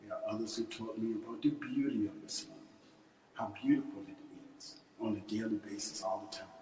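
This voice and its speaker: A man of sixty-two speaking as a minister in a religious lecture and prayer, deliberate and halting, with emphatic starts to his phrases. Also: lowish voice, darkish tone, a little hiss or hum. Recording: echoey hall, distant microphone.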